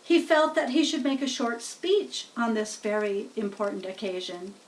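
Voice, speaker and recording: A woman's voice: fairly high pitch, animated, moderate pace, far from the mic. A woman narrating a children's story as a read-aloud storybook reader, recorded a little away from the microphone.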